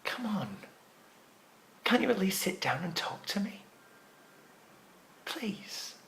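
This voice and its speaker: Man playing desperate theatre graduate, scornful, pleading, halting repeats, swinging pitch, stage monologue. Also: wildly swooping pitch, sharp tone, slight background noise, heavily acted. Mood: subdued begging. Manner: pleading, emphatic, halting repetition.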